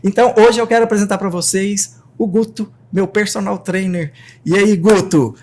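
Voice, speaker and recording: A man with a Brazilian Portuguese accent hosting a casual podcast as a psychiatrist, animated and talking straight to his listeners. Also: high voice, moderate pace. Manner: animated, talks straight to the audience.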